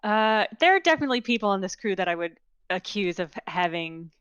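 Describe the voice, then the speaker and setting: A woman in casual gaming banter, making deadpan jokes. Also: mid-range voice, fairly quick, clean tone, dry recording, highs cut off.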